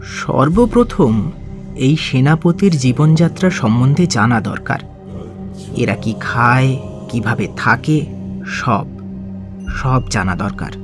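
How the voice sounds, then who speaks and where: A man's voice: mid-range voice, expressive, slow pace, long pauses. A man playing a clever, determined young monk student in an audio drama, speaking earnestly and expressively. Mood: pondering. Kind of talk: Bengali audio story acting. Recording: prominent music behind the voice.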